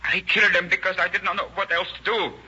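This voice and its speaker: A man's voice: high-pitched, little pitch movement, fast, pushed and bright. A muffled old radio recording with heavy noise carries an unhinged robber turned killer. He is volatile and agitated, with a menacing way of hammering at the same thought in 1930s radio acting style. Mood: anguished outburst.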